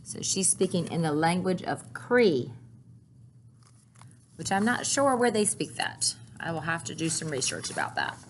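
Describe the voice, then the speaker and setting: A woman's voice: on the low side, big pitch swings, unhurried, a little hiss or hum. A woman reading a picture book aloud to children, expressively and carefully, with brief asides of her own.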